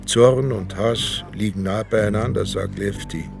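World-weary male inspector: very gravelly low voice, grumbling, brusque, radio drama with music underneath. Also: fairly even intonation, unhurried. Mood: weary, brooding. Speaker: police inspector. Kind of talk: radio drama, narration and dialogue.

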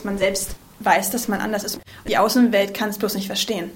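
Young woman, 20, interviewee, deliberate and thoughtful delivery. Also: mid-range voice, little pitch movement, a little hiss or hum. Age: 20s.

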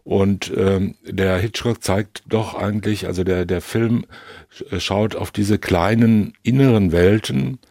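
A man discussing film reflectively in halting, long-winded, analytical sentences. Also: fairly low pitch, fairly even intonation, a little mellow, no room sound, studio quality. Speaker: podcast co-host discussing films.

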